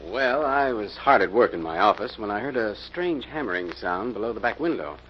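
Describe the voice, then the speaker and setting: Actor as earnest, lowish-voiced man, old radio. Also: expressive, fairly quick, natural voice. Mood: earnest, recounting. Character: Bill, earnest male office worker, assault victim. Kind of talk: 1940s radio mystery acting. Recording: dull, noisy old-time radio recording.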